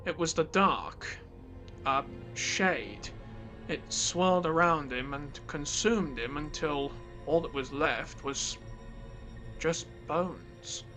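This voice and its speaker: A man's voice: fairly high pitch, slow pace, pushed and bright, soft background music. A man playing a blunt, guarded spaceship captain in a sci-fi audio drama. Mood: grim. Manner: blunt, skeptical.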